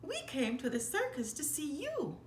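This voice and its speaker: A woman's voice: high voice, huge pitch swings, moderate pace, voice pushed up. A woman voicing a devoted, plain-living father mouse with emphatic, loyal delivery in a storybook read-aloud. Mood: emphatic, affectionate. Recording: roomy echo, faint background noise.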